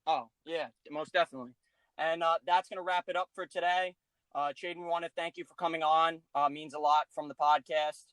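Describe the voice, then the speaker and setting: A man's voice: a little high, steady tone, a little fast, fairly bright tone, clear, resonant tone, no echo, low-quality recording. A teenage man hosting a casual podcast interview, upbeat with many "like"s. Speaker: podcast host.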